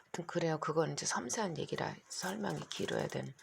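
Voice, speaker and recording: A middle-aged woman vlogging to her phone in a rambling, anxious monologue, her voice hoarse and her throat catching. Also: low voice, fast talker, a little hiss or hum. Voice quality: gravelly, husky. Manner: rambling, anxious, talks in long run-on stretches. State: hoarse, keeps clearing throat. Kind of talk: casual monologue to camera.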